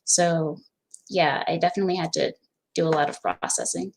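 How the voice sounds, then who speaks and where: Gravelly low-voiced young woman moderator. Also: normal speed. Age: young adult. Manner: earnest, agreeing with short backchannels. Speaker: discussion moderator. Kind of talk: casual discussion.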